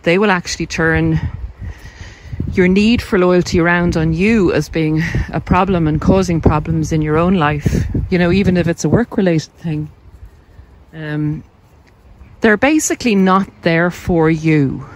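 An Irish woman with a slightly low voice speaks casually as she walks outdoors. She explains things in a reflective, informal way, pausing between thoughts as she addresses her viewers. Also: low-quality recording. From Ireland. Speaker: video host, narcissism coach. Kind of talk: casual chat to camera while walking. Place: outdoors on a country walk.

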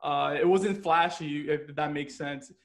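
A man's voice: on the high side, fairly even intonation, unhurried. An American man interviewing a guest, chatty, laughing often and leaning on "like" fillers. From United States. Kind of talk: casual interview.

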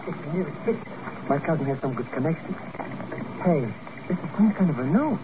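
An actor playing an excitable, chatty, nervous sidekick to a secret agent in a comic, complaining 1950s radio drama style. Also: male voice, a little high, fairly quick, lightly acted. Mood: excited. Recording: muffled, noisy old radio recording.

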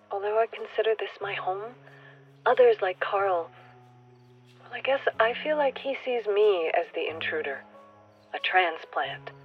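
A reflective outsider in a radio drama. Her medium-pitched voice muses and questions earnestly, over quiet music in a slightly dull recording. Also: husky. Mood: wistful. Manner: questioning, musing. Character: reflective newcomer woman, uncertain of belonging, earnest. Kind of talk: radio play monologue.

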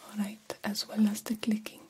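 A woman whispering an ASMR roleplay as a vampire doctor, breathy, deliberate and gently instructive. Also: medium pitch, monotone, slight background noise. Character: female vampire doctor tending a young patient. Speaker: ASMR performer.

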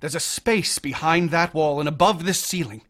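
An actor playing an obsessive, feverish young mathematics student in a radio drama, brooding and intense, sometimes hushed. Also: male voice, on the high side, moderate pace, close to an everyday voice. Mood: feverish, obsessed.

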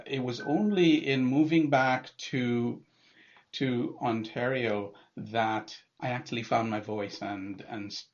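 Halting middle-aged man from Trinidad. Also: medium pitch. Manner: halting, with frequent repeated words and fillers. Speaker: guest poet. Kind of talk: casual interview talk. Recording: dull lo-fi remote audio.

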